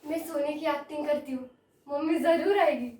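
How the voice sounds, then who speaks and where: A woman's voice: sits high, normal speed, darkish tone, natural voice. A woman playing a disturbed, innocently confused girl in a dramatic monologue, with a childlike, plaintive, repetitive delivery. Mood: pleading, confused. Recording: echoey room, distant microphone.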